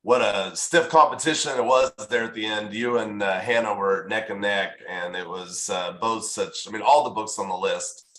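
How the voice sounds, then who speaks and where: A man's voice: on the low side, normal speed, few pauses, a bit bright. A man giving informal thanks as an event organizer, speaking earnestly and a bit hesitantly. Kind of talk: informal thank-you remarks at online event. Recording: roomy, echoing sound.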